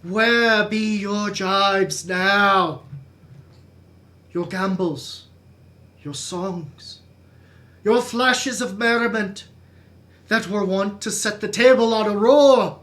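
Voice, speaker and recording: A performer as a slow, brooding tragic prince. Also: mid-range voice, a bit bright. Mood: grieving, impassioned. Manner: dramatic, halting, swelling and fading. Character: brooding, anguished young male prince of tragedy. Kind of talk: theatrical Shakespearean soliloquy.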